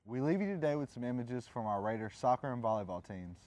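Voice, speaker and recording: A man's voice: medium pitch, expressive, fast talker, darkish tone, no room sound. Man co-hosting a college TV show. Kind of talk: scripted presenter sign-off.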